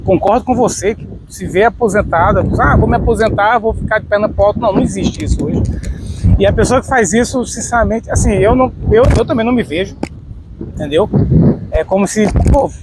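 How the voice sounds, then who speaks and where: High-pitched Brazilian man, heavy background noise. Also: brisk. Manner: rambling, repeats himself. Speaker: podcast host. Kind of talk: casual interview chat.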